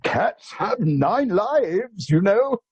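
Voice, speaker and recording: Man as old-fashioned major, radio play, slow, husky, animated intonation, blustering, dry close sound. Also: sits high, no echo, exaggerated voice. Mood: flustered. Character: blustering old-fashioned upper-class major, easily startled. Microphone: video call.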